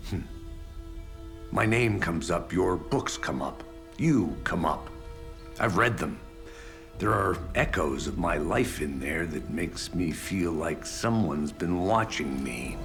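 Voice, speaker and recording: Deep-voiced, cynical FBI agent. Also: male voice, slow, creaky, faint music behind, full character voice. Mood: suspicious, brooding. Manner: deadpan, confrontational. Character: gruff, cynical, suspicious male FBI agent. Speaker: actor in a video game. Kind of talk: hardboiled video game cutscene acting.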